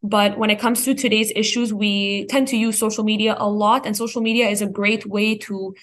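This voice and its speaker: A woman's voice: mid-range voice, fairly even intonation, a little fast. Teen girl student on a podcast, medium voice, answering a question. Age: teens. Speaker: student guest. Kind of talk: conversational interview answer.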